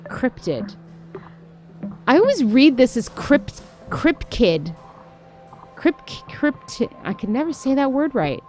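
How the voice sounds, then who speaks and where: A woman streams a game with lively, varied pitch. She reads aloud and comments as she goes, tripping on words and correcting herself, with faint music playing behind her. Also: slow pace, long pauses. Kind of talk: playthrough commentary, reading game dialogue aloud.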